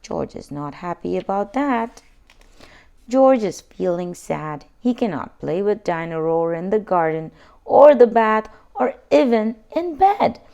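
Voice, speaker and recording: A woman narrating a children's storybook as a read-aloud host, expressive and deliberate. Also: medium pitch, vocal fry, lo-fi sound.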